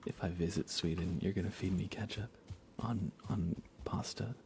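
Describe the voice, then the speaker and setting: An American man speaking in soft, breathy whispers, chatting casually and unhurriedly to viewers of an ASMR livestream. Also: low-pitched, fairly even intonation, slight background noise. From United States. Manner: hushed, unhurried, conversational.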